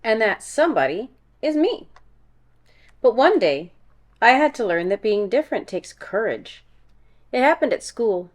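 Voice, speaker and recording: A woman reading a children's picture book aloud in a deliberate, animated storytelling style. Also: on the high side. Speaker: read-aloud storyteller, music teacher.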